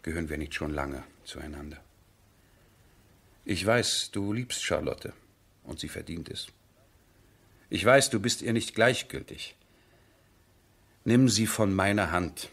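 An actor playing a passionate, headstrong nobleman in love, husky and theatrical, in a 1970s German radio drama. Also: low voice, lively intonation, takes it slowly, pauses often, heavily acted. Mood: tender, confiding. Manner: impassioned, theatrical, swinging from hushed to ringing.

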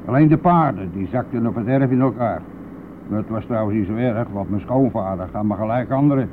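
A man's voice: lowish voice, a little fast, close to an everyday voice. Boastful bus driver played by a man, tinny old recording. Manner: blustery, colloquial, mildly swearing. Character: boastful, brash, talkative male bus driver. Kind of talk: radio play acting. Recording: narrow phone-like old radio recording, faint noise.

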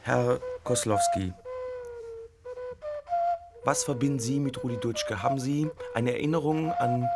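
A man's voice: medium pitch, unhurried, pauses often, heavy background noise. A man hosting and interviewing in an earnest, probing way, searching for his words as he improvises. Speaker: host and interviewer of a radio play. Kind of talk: halting, improvised interviewing and presenting. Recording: loud music over the voice. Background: loud music.

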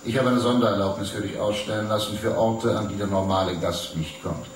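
An actor in a radio drama playing a smooth, amiable, greying official who talks like a politician and gently teases. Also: male voice, lowish voice, steady tone, brisk, close to an everyday voice. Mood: teasing, soothing. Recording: roomy, distant sound with faint noise.

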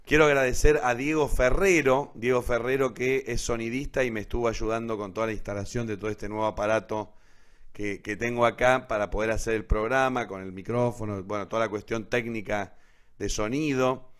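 An Argentine man hosting a live online show casually, in a rambling, halting way that often corrects itself. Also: mid-range voice, steady tone. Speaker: host of an online radio theatre show.

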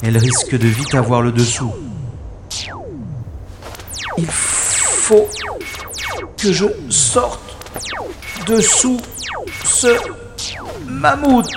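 A man's voice: high voice, reverberant, full character voice. An actor playing a wisecracking special agent who narrates his own science-fiction adventure in a wry, theatrical way. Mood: straining, effortful. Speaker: lead actor and narrator of an audio drama. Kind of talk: dramatised first-person narration, sci-fi radio play.

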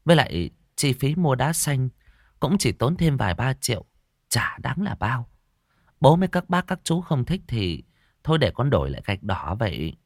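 A man's voice: mid-range voice, very animated, moderate pace, dry recording, clean studio sound, pitched above the performer's own voice. A man voicing a scheming young woman who speaks in a polite, ingratiating way. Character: sly, smooth-talking scheming young woman.

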